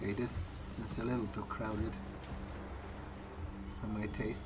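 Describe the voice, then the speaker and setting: A man's voice: lowish voice, level tone, a little slow. A man improvising in character as he plays a video game, thinking aloud hesitantly. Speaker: gamer playing in character. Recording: clearly noisy, game ambience underneath. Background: video game ambience.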